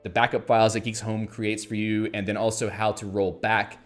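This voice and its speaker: A man's voice: lowish voice, flat delivery, fairly quick, faint music behind. A man hosting a casual livestream, chatting in a rambling way with fillers and restarts and reading out viewer comments. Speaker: livestream host.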